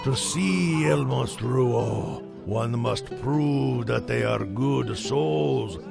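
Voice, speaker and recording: A man's voice: mid-range voice, drawn-out words, gravelly, over loud music, exaggerated voice. An actor playing an earnest, kindly cartoon father who speaks in a solemn, courtly, dramatic way. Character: earnest, kindly father, theatrical storytelling adventurer.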